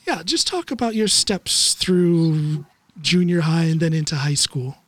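A man's voice: fairly high pitch, expressive, measured pace, fairly bright tone, dry recording. A middle-aged or older man with an American accent, hosting a casual, conversational podcast interview. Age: 50s or older. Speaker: podcast host.

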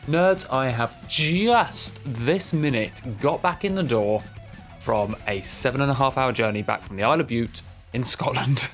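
A tired man hosting a podcast in a chatty, informal, self-deprecating way, his voice slightly croaky. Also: mid-range voice, measured pace, a bit bright, soft background music. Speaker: podcast host.

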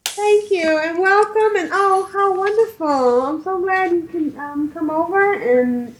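A woman with a high voice co-hosts a relaxed gaming podcast. She reads listener messages aloud in a cheerful, affectionate way and giggles between lines. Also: a little slow, rough recording. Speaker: podcast co-host. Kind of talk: casual chat, reading listener emails aloud.

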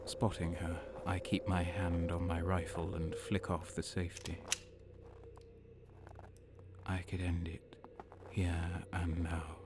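An actor playing a cold, dismissive traitor soldier in an audio drama. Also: male voice, low voice, background noise.

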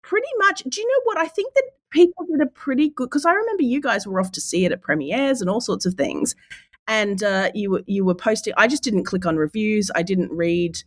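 A woman with a head cold that makes her husky, telling stories animatedly and laughing often in a podcast chat. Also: mid-range voice, animated, a little fast, no room sound, studio quality. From Australia. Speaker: podcast guest.

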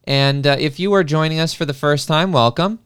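Smooth, clear-voiced man hosting a podcast. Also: fairly high pitch, fairly quick, a bit bright, no echo, studio quality. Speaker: podcast host. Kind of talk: casual podcast talk.

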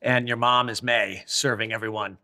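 A man hosts a podcast interview in an upbeat, playful way. His voice is bright and sharp at a middling pitch, and he jumps in with quick remarks. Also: steady tone. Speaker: podcast host. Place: hotel interior.

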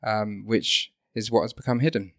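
A man talking about his work as a podcast guest, pausing often with ums and restarting his sentences. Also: lowish voice, fairly even intonation, a bit bright, no echo, clean studio sound. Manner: hesitant, full of ums and restarts. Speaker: guest, company co-founder. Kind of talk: podcast interview.

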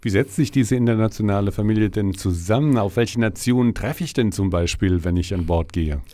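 Ein Mann moderiert im Studio einen Interview-Podcast und liest die Einleitungen geschliffen vom Skript ab. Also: male voice, on the low side, brisk, mellow. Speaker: podcast host. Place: audio studio.

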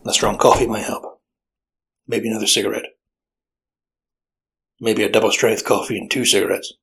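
A man playing a gruff, weary old detective with a deadpan, sardonic manner, narrating a noir audio drama. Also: on the low side, moderate pace. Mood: brooding.

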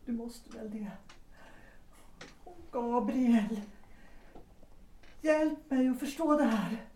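A woman playing an unhappy, lovestruck married woman in a period audio drama, speaking earnestly and deliberately. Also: a little high, a little mellow, recorded from a distance, slight background noise, close to an everyday voice. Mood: bewildered. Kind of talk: period stage-play audio drama acting. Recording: roomy echo, away from mic.

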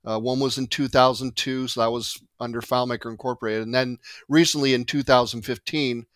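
A man hosting an interview, asking curious, lively questions. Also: mid-range voice, brisk, no echo, clean studio sound. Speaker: interview host.

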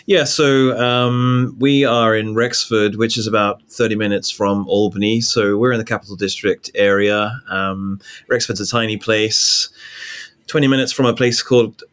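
A man in his forties from England with an English accent, a pastor telling his story in a relaxed interview over a call. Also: lowish voice, moderate pace, smooth. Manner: relaxed storytelling, frequent ums, light laughs. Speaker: pastor, guest. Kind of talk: casual interview talk. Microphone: video call.

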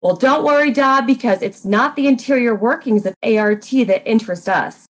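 A woman's voice: fairly high pitch, moderate pace, natural voice. A woman playing a gossipy, self-important guest co-host on a radio show in an audio comedy, earnest, insistent and a little pompous.

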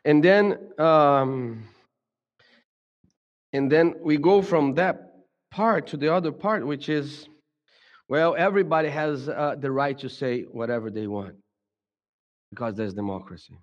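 A middle-aged man with a Brazilian Portuguese accent talks reflectively to a church audience. His voice rides slightly high, and he keeps restarting and doubling words as he searches for the thought. The sound carries a long hall reverb. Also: muffled recording. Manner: halting, many repeated words and restarts. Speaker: speaker at a church gathering. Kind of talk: reflective talk to a congregation.

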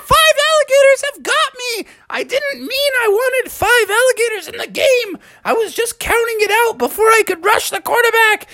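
A woman voicing an excitable, easily exasperated young boy bear in a story. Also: medium pitch, a little slow, pitched above the performer's own voice. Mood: panicked. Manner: exclaiming, indignant.

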